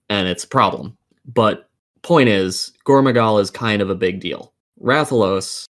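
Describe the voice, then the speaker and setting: A man with a fairly low voice talks on a casual gaming podcast. He rants with exasperated emphasis and swears freely as he lays out his opinions. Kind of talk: heated opinion rant in a casual group chat. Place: remote voice call over the internet.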